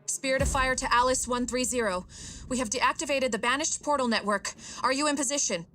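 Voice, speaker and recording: Alert, slightly high military liaison. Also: female voice, a little fast, sharp tone, soft background music. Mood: businesslike urgency. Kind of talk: cinematic video game acting.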